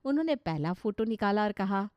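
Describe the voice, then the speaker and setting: A woman's voice: mid-range voice, animated, brisk, no room sound, highs cut off. An Indian-accented woman giving scripted storybook narration.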